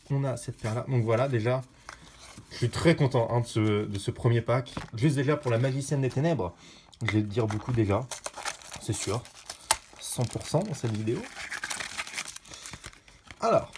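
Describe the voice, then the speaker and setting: Chatty French-speaking man opening card packs. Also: noisy background. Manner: chatty, bursts into excited high shouts at rare pulls. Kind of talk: casual unboxing commentary.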